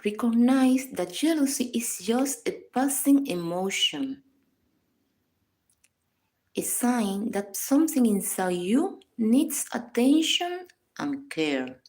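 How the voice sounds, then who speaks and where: A woman reading a scripted guided meditation in a calm, measured, instructional manner. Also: fairly high pitch, poor audio. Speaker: psychologist guiding a meditation.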